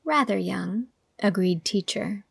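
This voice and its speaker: A woman's voice: mid-range voice, animated, slow, vocal fry, husky, no echo. A woman reading scripted audiobook narration as the storyteller, voicing bits of dialogue along the way. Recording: music under the voice.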